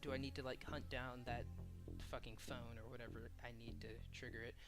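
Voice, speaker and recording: A man's voice: mid-range voice, level tone, a little fast. A man casually and informally walking through a video game level editor as a tutorial host. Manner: informal, profane. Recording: music behind the voice. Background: loud game music.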